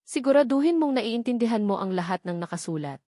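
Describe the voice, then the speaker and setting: A woman's voice: fairly high pitch, lively intonation, fairly quick, dark tone, no echo, clean studio sound. A woman with a Filipino accent voicing a cold, unyielding male executive in an audio love story, formal and clipped. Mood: formal, cool.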